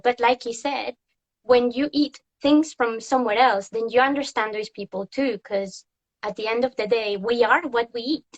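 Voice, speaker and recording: A woman's voice: a little high, low-quality recording. A woman hosting a casual interview as a tour guide and food historian, chatty and laughing often.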